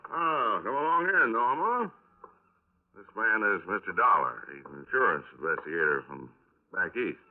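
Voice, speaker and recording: A man acting a folksy, unhurried police detective in a 1950s radio drama, speaking in a hesitant, rambling, casual way. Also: fairly low pitch, sing-song. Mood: plainspoken. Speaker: actor in a radio crime drama. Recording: narrow-band vintage 1950s radio recording.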